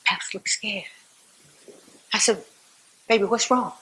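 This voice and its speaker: A woman playing a devout, resolute Southern civil rights activist, telling her story to church in a theatrical, folksy monologue with dramatic pauses and repetition. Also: medium pitch, bright, forceful tone, poor audio. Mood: earnest, relieved.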